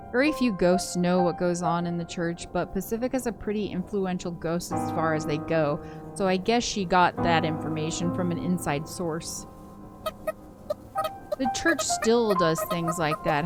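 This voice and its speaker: A woman's voice: fairly low pitch, lightly acted. Woman voicing an introspective ghost girl over music. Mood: resentful. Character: hazy-headed young ghost girl narrator, brooding and sardonic. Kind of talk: visual novel read aloud, introspective first-person narration. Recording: prominent background game music.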